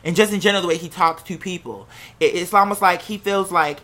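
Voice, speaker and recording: Bright-voiced American casual storyteller, candid and animated. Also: medium pitch, fast. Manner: acts out quoted remarks while telling.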